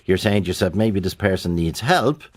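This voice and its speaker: A man presenting a radio interview, prompting his guest with gentle questions and fillers. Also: deep voice, animated, a little fast. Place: radio studio.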